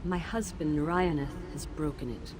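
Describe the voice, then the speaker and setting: Fantasy game ghost, played by a woman: wronged wife and teacher, grieving, lowish female voice, theatrical gravity, noisy backdrop. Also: normal speed, close to an everyday voice. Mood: mournful, despairing. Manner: mournful, solemn, dramatic. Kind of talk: fantasy video game voice acting.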